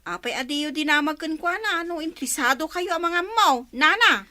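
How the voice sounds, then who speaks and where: A woman's voice: high-pitched, expressive, normal speed, sharp tone, no echo. A woman with an Ilocano accent playing an outspoken young village woman in a comedy radio drama. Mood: defiant.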